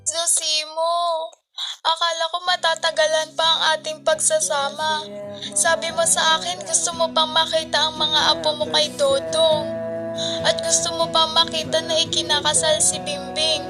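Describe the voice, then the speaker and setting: Teenage girl as poor, loving Filipino wife in a radio drama; very high voice, music underneath. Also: monotone, normal speed. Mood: grieving. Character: devoted, poor young wife and mother, later grieving widow. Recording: music behind the voice.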